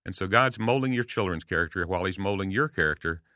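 A man's voice: low-pitched, lively intonation, fast, no room sound, clean studio sound. A man in his late sixties, a guest on a podcast, talking earnestly and telling stories to encourage listeners. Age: 60s. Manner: earnest, storytelling, warm encouragement with acted quotes. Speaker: guest speaker. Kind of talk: casual podcast conversation.

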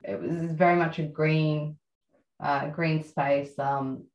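Low-voiced Australian woman, echoey sound. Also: fairly even intonation, a little mellow, highs cut off. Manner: reflective, many fillers and pauses. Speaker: guest writer. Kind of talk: relaxed interview conversation.

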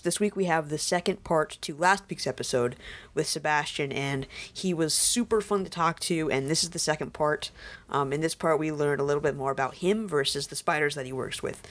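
A podcast host reading an episode intro and then interviewing a guest, mostly with short replies. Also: medium pitch. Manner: brief back-channel replies. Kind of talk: casual interview.

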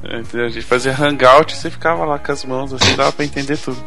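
A man's voice: mid-range voice, fairly even intonation, rapid-fire, gravelly, music in the background. A man hosting a talk show, teasing his co-host and reading out listener mail. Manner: joking, teasing banter. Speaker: podcast host.

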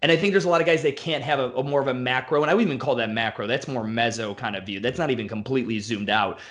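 Blunt, profane gym owner. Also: male voice, medium pitch, fairly bright tone. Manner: blunt, profane, fast-flowing rants. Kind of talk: casual podcast conversation.

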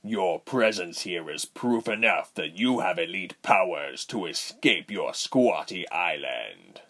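A man's voice: deep voice, lively intonation, takes it slowly, fairly bright tone, exaggerated voice. A man voicing a cruel, arrogant general in a fantasy storybook, with a menacing, commanding manner. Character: cruel, arrogant male general, wicked villain.

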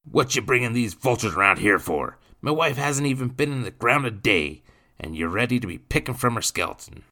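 A spiteful drunk in a storybook, loud and pushed well up in pitch. He slurs and bellows in rough dialect, mocking and belligerent. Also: lively intonation, a little fast. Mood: surly growling. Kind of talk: dramatised audiobook character voice.

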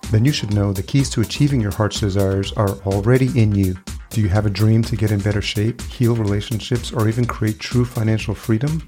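A man's voice: fairly low pitch, steady tone, a little fast, dark tone. A man reading a scripted, motivational show intro in an announcer's delivery. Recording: intro with faint music behind.

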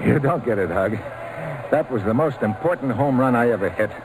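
A man playing a big-hearted baseball slugger, gruff but kind, in old-time radio drama style with folksy, plain speech. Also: medium pitch, animated, brisk, close to an everyday voice, rough recording. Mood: determined.

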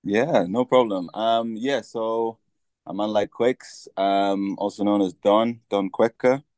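A man's voice: on the low side, fairly even intonation, slow, a little mellow, clean tone, no echo. A man, a guest in a casual interview who talks easily with many fillers and restarts. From Tanzania.

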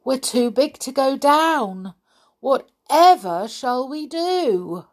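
Woman playing anxious fairy-tale man, fretful, exclaiming, animated pitch. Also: slow, exaggerated voice. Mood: worried.

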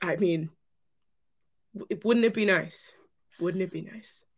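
A hoarse young woman co-hosting a podcast, chatting excitedly and rambling with lots of "like". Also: lowish voice, takes it slowly. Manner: excited, rambling with many likes. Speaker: podcast co-host. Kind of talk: casual chat.

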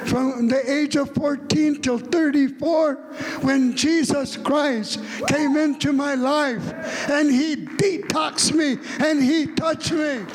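A middle-aged man from the United States preaching a fervent revival sermon, repeating phrases and rising to shouts. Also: very high voice, steady tone, takes it slowly. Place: large church hall. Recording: long hall reverb.

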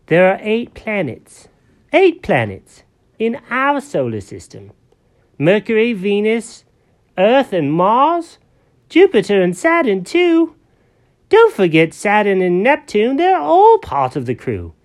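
A woman's voice: mid-range voice, wildly swooping pitch, slow, a little mellow, voice pushed up. A woman voicing a naive, greedy male kitty cat who is obsessed with eating fish. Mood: proud. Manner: eager, wide-eyed and fish-obsessed.

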